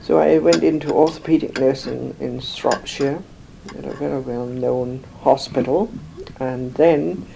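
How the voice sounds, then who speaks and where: An older woman from England, slowly recalling her life in an interview, rambling with many pauses and fillers. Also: a bass voice, steady tone, gravelly, noisy background. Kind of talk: oral history recollection.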